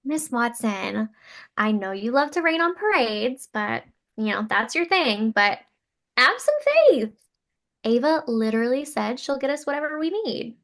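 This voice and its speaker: High, bright, eager young schoolteacher played by a woman. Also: unhurried. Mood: hopeful. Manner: perky, animated. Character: eager, chipper, earnest young female schoolteacher. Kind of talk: script table read.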